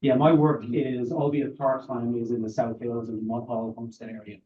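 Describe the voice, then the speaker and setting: A man in his forties with an Irish accent, answering interview questions at a council meeting in a measured, courteous way. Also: medium pitch, level tone, slight background noise. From Ireland. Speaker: board applicant. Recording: tinny, distant council-room audio.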